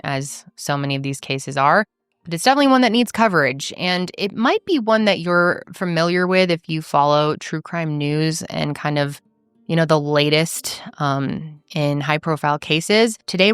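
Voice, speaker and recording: A woman's voice: low voice, a bit bright, smooth, no room sound. A woman hosting a true crime podcast, telling a case in an earnest, emphatic, conversational way. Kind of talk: casual storytelling narration.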